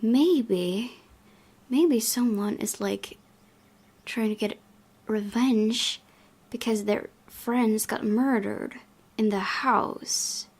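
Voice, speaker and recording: A woman hosting a casual game playthrough, reading and commenting, thinking aloud in a halting way. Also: on the high side, darkish tone, slightly rough. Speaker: gaming video host.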